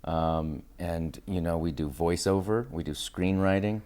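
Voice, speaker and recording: A man with a distinctly deep voice talks as a guest in an interview. His style is casual and enthusiastic, with phrases strung loosely together as he explains things. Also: steady tone, unhurried, mellow. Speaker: interview guest, acting studio founder and teacher.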